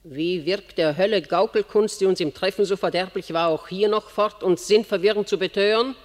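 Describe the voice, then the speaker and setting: A woman's voice: mid-range voice, moderate pace, close to an everyday voice. An actress playing an imperious queen in a classic radio play, declaiming verse urgently. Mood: rebuking. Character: imperious queen, pleading and rebuking. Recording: long hall reverb.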